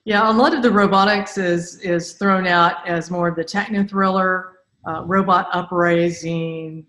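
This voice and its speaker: A woman with a slightly low voice hosts a casual interview. She talks in a chatty, rambling way, and the room around her sounds clearly echoey. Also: steady tone, unhurried, a bit bright. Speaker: podcast host, interviewer.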